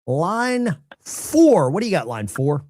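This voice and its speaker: A male call-in host with a lively, swinging voice. He tosses off quick jokes and quips between callers, and the sound is dry and close. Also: a little high, no room sound. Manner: joking, offhand, quick quips. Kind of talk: casual call-in talk.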